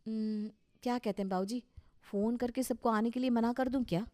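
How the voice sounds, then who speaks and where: A woman's voice: on the high side, steady tone, a little fast, mellow, no room sound, clean studio sound, natural voice. A woman playing a young, anxious, respectful daughter-in-law in a cartoon story, earnest and questioning. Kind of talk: Hindi cartoon story dialogue.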